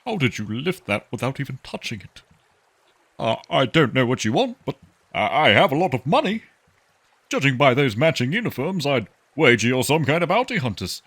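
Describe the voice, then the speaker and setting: Guy acting as a jittery rich man on the run, stuffy and stammery, pitch all over, sci-fi audio drama. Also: very animated, moderate pace, a bit bright, slightly rough, full character voice. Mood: nervous, bargaining. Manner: stammering, formal, bargaining. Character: nervous self-important wealthy male fugitive pleading for his life.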